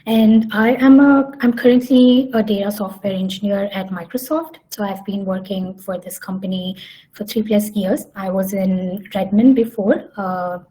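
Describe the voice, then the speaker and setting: A woman's voice: medium pitch, fairly even intonation, moderate pace, warm tone, rough recording. Hesitant woman giving a talk. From Hyderabad, India. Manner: hesitant, many fillers and restarts. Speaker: summit speaker. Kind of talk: online conference presentation.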